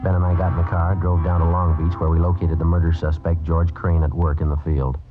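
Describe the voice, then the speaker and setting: Dogged police sergeant, played by a male actor, terse and quick, flat delivery, vintage radio crime serial sound. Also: low-pitched, close to an everyday voice. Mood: matter-of-fact. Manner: clipped, deadpan. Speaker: lead actor and narrator. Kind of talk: 1950s radio crime drama acting. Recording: tinny vintage radio, music behind.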